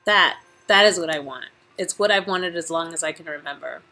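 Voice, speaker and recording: A woman's voice: on the low side, fairly quick, bright, forceful tone, voice pushed down. A woman reading the part of a starry-eyed, earnest young would-be actress in dialogue from a visual novel game.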